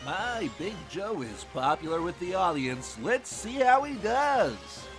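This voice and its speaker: A man playing an excitable male tournament announcer who delivers showy patter with dramatic swoops, in a fan radio drama. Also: sits high, slow, exaggerated voice. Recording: music underneath.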